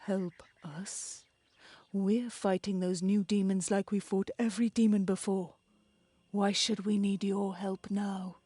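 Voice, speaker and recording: A woman's voice: medium pitch, flat delivery, slow pace, dark tone, breathy edge, no echo. A woman playing a regal, dignified queen who is concerned for her embattled people, in dramatic video game style. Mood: composed, concerned.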